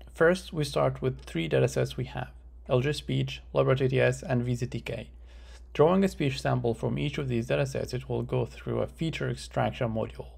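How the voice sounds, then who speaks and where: Male research presenter, very creaky gravelly voice, methodical scripted explanatory delivery, mid pitch. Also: a little mellow.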